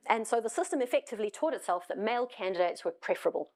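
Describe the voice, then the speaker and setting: A woman's voice: fairly high pitch, expressive, fast talker, darkish tone. A middle-aged woman from South Africa with a South African accent, giving a conversational talk on stage with wry asides and frequent ums. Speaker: conference speaker. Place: stage in a hall.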